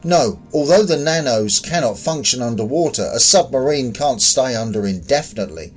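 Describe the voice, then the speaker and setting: A bland, detached alien visitor read by a man explains things in a formal, literal way. The voice is mid-pitched and belongs to a science fiction story, with faint music behind. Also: animated, moderate pace, close to an everyday voice. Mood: detached, explanatory.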